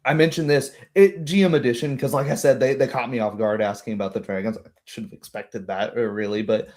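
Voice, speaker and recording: A man talking casually as a tabletop game master, chatty and halting, with a readiness to chuckle. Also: medium pitch, steady tone, a little mellow.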